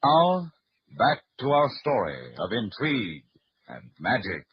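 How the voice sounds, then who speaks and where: An American man announcing and narrating from a script in 1940s radio style, with a husky voice. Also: medium pitch, huge pitch swings, slow pace, heavy background noise. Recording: muffled old radio recording.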